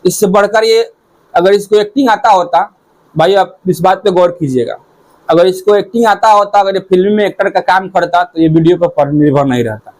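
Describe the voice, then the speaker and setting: A man's voice: high-pitched, a little fast, rough recording. A man gives informal commentary to viewers, talking in a repetitive, hectoring way. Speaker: YouTube commentator.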